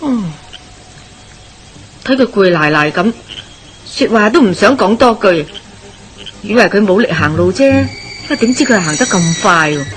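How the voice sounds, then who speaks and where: An actress playing a warm-hearted, nosy middle-aged village wife with a Hong Kong Cantonese accent, gossipy and exclamatory, in a radio drama. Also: female voice, mid-range voice, animated, unhurried, long pauses, a little hiss or hum, lightly acted. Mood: puzzled.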